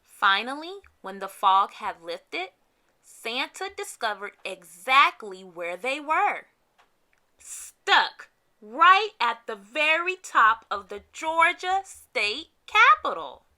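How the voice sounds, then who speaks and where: Female storybook reader for kids: very bright, animated pitch, dramatic emphasis. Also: takes it slowly. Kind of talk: read-aloud scripted narration for kids.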